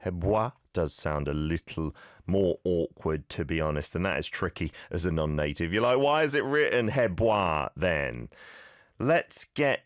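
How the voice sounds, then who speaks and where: A man with a lowish voice hosts a casual radio language segment, his pitch swinging up and down as he repeats phrases slowly for listeners. He is easygoing and a little self-mocking. The sound is dry and close, with no room around it. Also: big pitch swings, no echo. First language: not Korean. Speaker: radio show host teaching Korean. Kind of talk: casual radio lesson chat.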